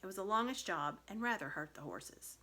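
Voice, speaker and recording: Female storybook narrator, lively intonation, bright clear-cut tone, expressive scripted reading. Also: medium pitch, fairly quick. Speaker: storybook reader.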